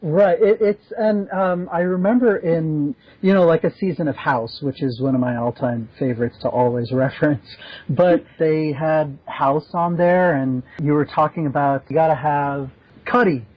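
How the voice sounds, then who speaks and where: A man's voice: fairly high pitch, normal speed. A man chatting casually as a member of a podcast panel. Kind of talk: casual chat. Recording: dull lo-fi audio.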